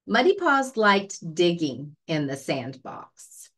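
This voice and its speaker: A woman reading a children's story aloud as a librarian, expressive and deliberate. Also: on the low side, breathy edge.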